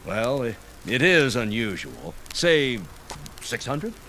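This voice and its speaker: An actor playing a brash, wily older politician in a radio drama, blunt, folksy and self-important. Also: male voice, mid-range voice, very animated, slow, a bit bright, soft background music, full character voice. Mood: shrewd, haggling.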